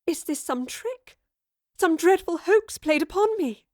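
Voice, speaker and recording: Brief: woman reader playing a haughty proper lady, clipped and scornful, fairly high voice, audio drama. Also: expressive, normal speed, a bit bright, no room sound, clean studio sound. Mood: alarmed. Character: haughty, sharp-tongued woman, proper and skeptical.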